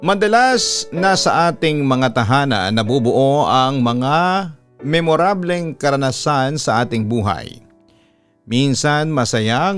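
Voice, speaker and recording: A man with a Filipino accent narrating a scripted story like a radio announcer, with measured, deliberate, dramatic phrasing. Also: mid-range voice. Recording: music bed under the voice. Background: background music.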